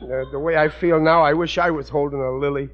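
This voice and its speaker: A man's voice: fairly high pitch, steady tone, brisk, lightly acted. Man as a plaintive American sitcom husband, noisy recording. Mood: self-pitying. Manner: plaintive, blustering, plain-spoken. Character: aggrieved, gullible working-class husband and father. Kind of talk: 1940s radio comedy acting. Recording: muffled, noisy old radio recording.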